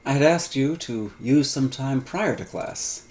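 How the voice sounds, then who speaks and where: A middle-aged man giving a recorded online lecture on biblical Greek, measured and full of "uh" fillers, stretching out key terms. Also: medium pitch, darkish tone. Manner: measured, frequent "uh" fillers, drawn-out emphasis on terms. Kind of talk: recorded online lecture over textbook pages.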